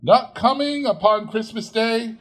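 Man playing fond, earnest father, slightly husky. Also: extremely high pitch, expressive, a little slow, a bit bright, voice pushed up, full character voice. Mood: dismayed. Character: poor, fond father, gentle and high-spirited.

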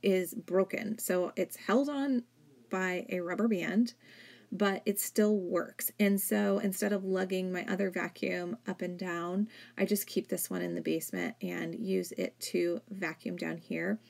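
Chatty woman with a gravelly fry. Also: lowish voice, lively intonation, unhurried, darkish tone. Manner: chatty, filler-heavy with frequent "like". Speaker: cleaning vlogger. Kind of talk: casual voice-over narration. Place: home, indoors.

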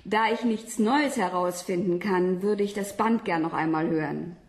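An actress playing a curious, principled young student in a radio play. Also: female voice, lowish voice, moderate pace, lightly acted. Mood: polite. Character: Laura Carson, young female student, curious but principled. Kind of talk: radio drama acting.

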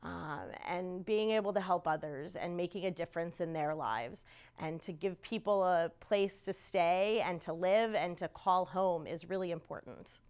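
A woman's voice: on the low side, moderate pace, a little mellow, clear, resonant tone. A middle-aged woman from the American Midwest giving an earnest personal testimonial in a studio. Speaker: volunteer.

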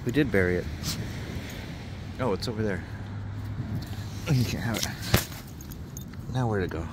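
A man's voice: lowish voice, expressive, moderate pace, heavy background noise. A man, a dog trainer, talking playfully to his dogs on a beach at night, recorded on a handheld camera. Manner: coaxing, playful talk to dogs. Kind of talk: casual talk to his dogs.

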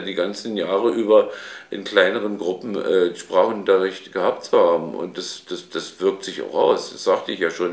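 A middle-aged man, a school leader, speaking emphatically with restarts in an office. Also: deep voice. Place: office indoors. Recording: echoey room.

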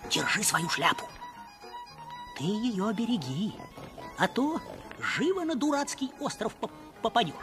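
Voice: mid-range voice, wildly swooping pitch, measured pace, pauses often, music in the background. A performer voicing a scrawny, sly male conman in a cartoon, stuttering and conspiratorial. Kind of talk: animated film acting.

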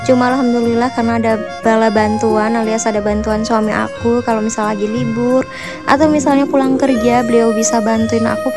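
A woman in her thirties, a housewife vlogger, narrating her chores in a friendly, chatty voice-over that keeps addressing her viewers. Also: fairly high pitch. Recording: voice-over with music bed. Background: background music.

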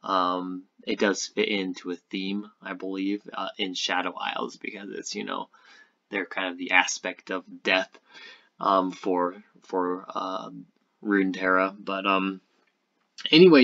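A man's voice: low-pitched, a bit bright, highs cut off. A man hosting a gaming video with casual, rambling news and speculation commentary. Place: indoor voice-over on screen recording.

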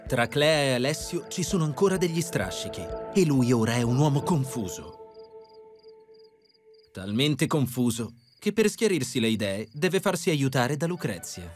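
Man, reality-show voice-over, wry, lively pitch, music bed under. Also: normal speed. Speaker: narrator.

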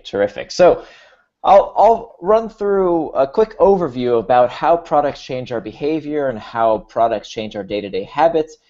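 A man's voice: mid-range voice, warm tone. An Israeli-born man with an American accent, presenting a workshop over a video call, explaining steadily and restarting with repeated words. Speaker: workshop speaker. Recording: dull lo-fi video call audio.

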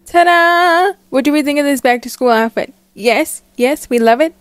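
Young female creator, high smooth voice, bubbly casual roleplay vlog delivery, close dry sound. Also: normal speed, dry recording. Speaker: story channel creator voicing a character.

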